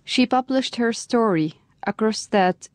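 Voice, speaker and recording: A woman's voice: fairly high pitch, lively intonation, a little slow, darkish tone, no echo. A woman host giving a formal introduction and narration in slightly stilted phrasing.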